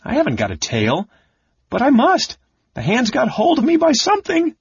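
A man voicing a small, excitable kender from a fantasy storybook, chattering in a breathless, rambling way. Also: normal speed, creaky, slightly rough, no echo, muffled recording. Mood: startled. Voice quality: squeaky when transformed.

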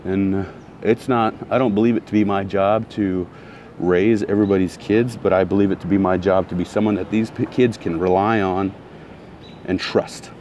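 Man with a very low voice and a throat still recovering from soreness, blunt heated vlog rant, faint street noise. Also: fairly even intonation, normal speed, a little mellow. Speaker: vlogger. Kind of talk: casual ranting monologue.

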